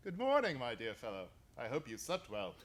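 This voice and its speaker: Man acting as gentleman detective, mid-pitched, formal, wry, self-assured, old-time radio drama. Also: wildly swooping pitch, fairly quick. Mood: cheerful, wry.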